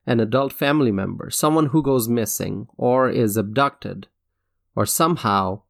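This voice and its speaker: A man from Bangladesh hosting a solo talk show, speaking in an earnest, measured way with occasional restarts. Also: medium pitch, creaky. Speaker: podcast host. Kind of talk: reflective solo commentary.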